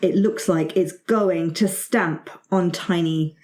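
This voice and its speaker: A woman's voice: lowish voice, slow pace, a little mellow. A woman running a tabletop roleplaying game, improvising narration and rulings in an encouraging way. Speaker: game master. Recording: roomy, echoey sound.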